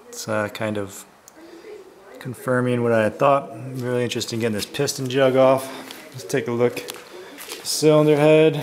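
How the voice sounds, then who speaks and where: A man talks through a hands-on repair in an informal way, thinking aloud as he works. His voice sits in a middle register. He is picked up by a camera mic in a workshop, with some noise around him. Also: mid-range voice. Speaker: amateur mechanic filming a repair how-to. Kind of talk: casual hands-on repair narration.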